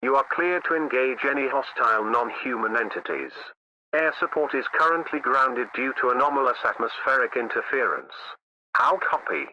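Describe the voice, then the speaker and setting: A man's voice: medium pitch, moderate pace, sharp tone. A man playing a military command dispatcher giving firm orders over a radio in a drama. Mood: firm, urgent. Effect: military radio transmission. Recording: dull, noisy radio transmission.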